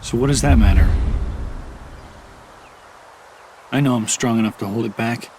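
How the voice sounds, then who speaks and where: An actor playing a strong-willed but troubled young warrior in a video game, speaking with earnest intensity. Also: male voice, mid-range voice, quick pace, a little mellow, slight background noise, lightly acted. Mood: defiant.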